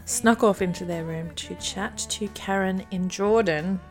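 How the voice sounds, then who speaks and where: A woman's voice: medium pitch, a little slow, soft background music. A woman in her thirties hosting a casual chat over a remote call, talking easily with frequent ums and light laughs. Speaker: podcast host.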